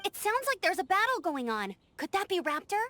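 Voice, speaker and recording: A woman's voice: very high-pitched, sing-song, a little fast, bright, forceful tone, a little hiss or hum, heavily acted. A woman voicing an eager young girl scout in video game dialogue, earnest and upbeat as she urges others on. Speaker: outrider.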